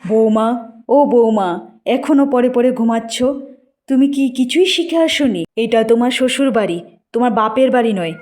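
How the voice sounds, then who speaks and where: Woman playing stern mother-in-law, middle-aged, fairly high voice, scolding, forceful, animated drama. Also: moderate pace, close to an everyday voice.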